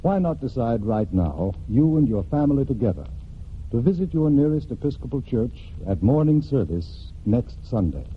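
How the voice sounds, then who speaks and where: A man narrates a religious message in old-time radio style. He is earnest and measured, with widely moving, middle-pitched intonation. The recording is thin and faintly hissy. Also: sing-song, lo-fi sound. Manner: earnest, measured oratory with pauses. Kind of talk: scripted 1940s radio church message.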